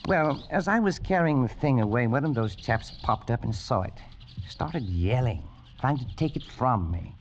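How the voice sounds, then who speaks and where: A man's voice: medium pitch, animated, moderate pace, a little hiss or hum, natural voice. An actor playing an arrogant, flippant Englishman with a scoffing, evasive, hesitant way of talking, in old-time radio drama style. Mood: evasive, defensive. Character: flippant, arrogant Englishman abroad, guilty and shaken beneath. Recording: dull vintage radio recording with music.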